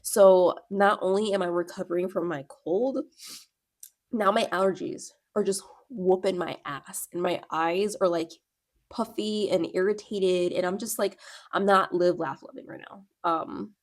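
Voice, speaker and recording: A woman's voice: mid-range voice, steady tone, measured pace, no room sound, clean studio sound. A young woman with a stuffy nose from a cold, rambling casually in a reading vlog, full of "like" and fillers. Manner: rambling, filler-heavy, lots of "like". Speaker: book vlogger. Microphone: clip-on or small personal microphone.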